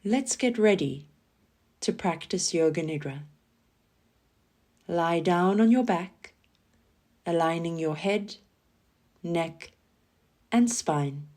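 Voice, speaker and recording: A woman leading a scripted guided relaxation meditation in a calm, soothing manner, giving gentle instructions with pauses. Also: on the low side. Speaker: yoga nidra guide.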